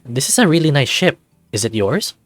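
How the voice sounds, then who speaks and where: A friendly young lifeguard hero from a story game, voiced by a man, talks in a chatty, inquisitive way. The voice is mid-pitched, with light music underneath. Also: big pitch swings, brisk, no room sound. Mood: curious.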